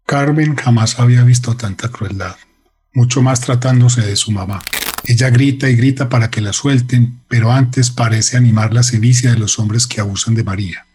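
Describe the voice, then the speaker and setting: A man's voice: mid-range voice, normal speed, a little mellow, slight background noise. A man narrating a radio drama in a dramatic, scripted style.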